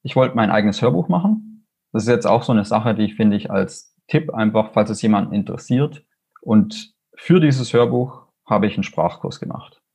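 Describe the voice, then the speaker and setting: A man's voice: on the low side, animated, normal speed, vocal fry, darkish tone, husky. A man with a Swabian accent, a fantasy author speaking casually and enthusiastically in an interview, rambling with fillers and restarts. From Swabia, Germany.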